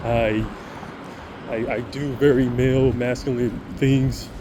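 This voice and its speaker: Deep-voiced man over loud street noise. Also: fairly even intonation, slow pace. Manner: earnest, repetitive, many restarts and stammers. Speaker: vlogger. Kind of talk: casual monologue to camera.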